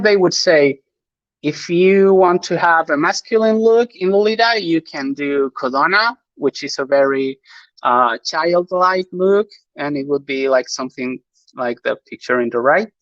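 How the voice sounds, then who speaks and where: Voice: medium pitch, a little slow, fairly bright tone, no room sound, dull audio. A speaker from Chile with a Chilean Spanish accent, a native Spanish speaker, giving a live online panel talk with many fillers, restarts and nervous laughs.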